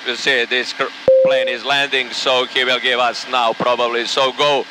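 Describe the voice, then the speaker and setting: A man chatting in the cockpit as a pilot, relaxed and halting, repeating words as he guides a companion. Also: medium pitch, heavy background noise. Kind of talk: casual cockpit talk.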